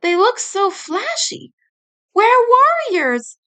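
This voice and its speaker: An excitable storybook yokai girl, acted by a woman narrator. She squeaks and gushes happily, her voice pitched very high above ordinary speech. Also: slow pace, exaggerated voice. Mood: thrilled. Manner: squeaky, gushing. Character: excitable, happy young yokai girl warriors.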